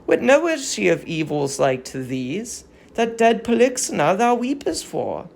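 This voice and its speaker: Performer acting a slow, mournful young Trojan widow. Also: medium pitch, sing-song, creaky, a little hiss or hum. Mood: sorrowful, bitter. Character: grieving captive Trojan widow Andromache, young noble mother. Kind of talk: dramatic reading of classical Greek tragedy.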